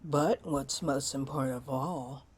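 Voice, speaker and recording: A woman's voice: a bass voice, breathy edge, exaggerated voice. A woman playing a flirty, scheming mermaid in a roleplay audio drama, teasing and coaxing her listener. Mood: tempting. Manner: teasing, coaxing, slow and deliberate. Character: flirty, scheming female mermaid, sweetly tempting a pirate.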